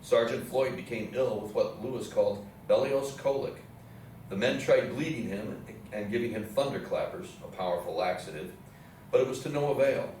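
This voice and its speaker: A man's voice: mid-range voice, moderate pace, a little mellow. A man delivering scripted historical narration as the narrator of a live stage reading. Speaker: narrator in a readers theatre play. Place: live readers theatre performance in an echoey indoor space. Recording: very echoey room, distant mic, faint noise.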